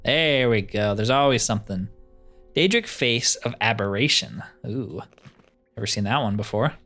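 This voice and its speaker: A man's voice: lowish voice, lively intonation, moderate pace, a bit bright. A man giving casual playthrough commentary as a video game host, thinking aloud with self-deprecating humor. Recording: music behind the voice. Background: game music.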